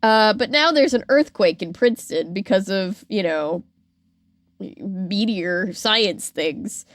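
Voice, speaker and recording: A woman's voice: medium pitch, lively intonation, slow pace, no echo. Casting: female podcast host, mid-range voice, casual and wry, close dry recording. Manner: wry, playful asides. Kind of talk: casual conversational storytelling with commentary.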